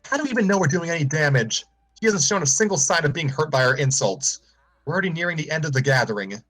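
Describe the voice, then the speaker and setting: A man's voice: a little high, lively intonation, fast talker, fairly bright tone, pitched above the performer's own voice. A man voicing a worried, earnest female cartoon pony leader in a fretful manner.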